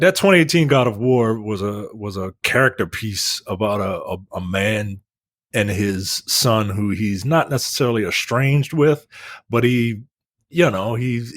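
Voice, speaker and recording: A man chatting casually as a podcast co-host, talking in a halting, rambling, informal way with some swearing. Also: on the low side, fairly bright tone, no room sound, studio quality. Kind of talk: casual movie and game news chat.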